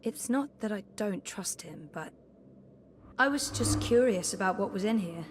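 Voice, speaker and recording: A woman's voice: medium pitch, animated, moderate pace, slight background noise, lightly acted. An actress playing a guarded, curious, level-headed young woman adventurer in a video game, speaking thoughtfully and with understatement. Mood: guarded, pondering. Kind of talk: video game dialogue acting.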